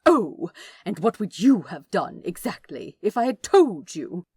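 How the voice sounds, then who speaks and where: A prim and stubborn male angel, voiced by a woman narrator in a dramatic audio story. The speech is genteel and huffy with lively, swooping intonation, recorded very dry. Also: mid-range voice, a little slow, slightly rough, dry recording, heavily acted. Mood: indignant. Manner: scoffing, huffy, insistent, genteel wording. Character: prim, indignant male angel, fussy and stubbornly self-righteous. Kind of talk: dramatic fan fiction reading.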